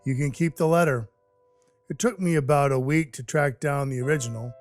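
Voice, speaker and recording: A man playing a scruffy, apologetic Christmas dwarf in an audio drama, telling his story in a halting, folksy, sincere way. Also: a little high, soft background music, close to an everyday voice. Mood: sincere, chatty. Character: male Christmas dwarf turned Santa, scruffy, apologetic, sentimental. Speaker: actor in an audio play.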